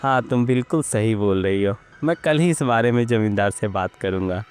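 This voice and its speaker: A man's voice: lowish voice, normal speed, a little mellow, clean tone, faint music behind, close to an everyday voice. Man playing a poor, troubled farm labourer. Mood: gentle. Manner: pleading, troubled. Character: poor, hungry, desperate male farm labourer with a family.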